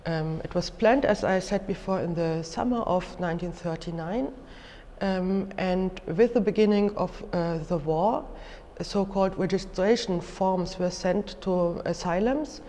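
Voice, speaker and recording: Slow, halting German-accented woman lecturer. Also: on the low side, dark tone, a little hiss or hum. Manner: halting, careful delivery with frequent hesitations. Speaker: museum lecturer. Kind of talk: educational lecture, unscripted explanation. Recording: echoey hall with long reverb.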